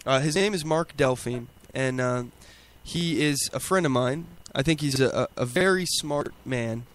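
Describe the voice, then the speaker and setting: A man hosting a casual political podcast while sick and losing his voice, halting and repeating words often. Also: medium pitch. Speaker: podcast host. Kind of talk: casual political talk show.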